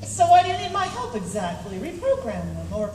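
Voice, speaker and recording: A woman's voice: sits high, huge pitch swings, brisk, a little mellow, recorded from a distance. A woman playing a curious, incredulous young scientist heroine in a comic radio play. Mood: questioning. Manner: inquisitive, incredulous. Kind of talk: comic radio theatre acting. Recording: echoey hall from afar, noisy.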